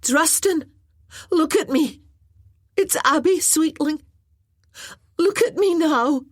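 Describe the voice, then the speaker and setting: A woman's voice: high-pitched, slow, a little mellow, dry recording, clean studio sound, pitched above the performer's own voice. A woman voicing a frightened but brave young bride, speaking in raised, urgent pleas.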